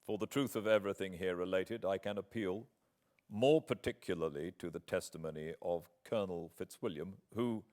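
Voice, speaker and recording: A British man reading a classic novel as a proud, wronged gentleman, with formal, measured, deliberate phrasing. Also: low voice, close to an everyday voice. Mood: earnest, solemn. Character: proud, wronged English gentleman writing a formal, earnest letter.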